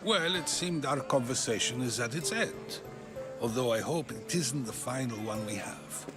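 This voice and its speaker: An actor playing a probing, articulate ancient Athenian philosopher in a video game, musing and questioning. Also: male voice, mid-range voice, lively intonation, moderate pace, lightly acted. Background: game music.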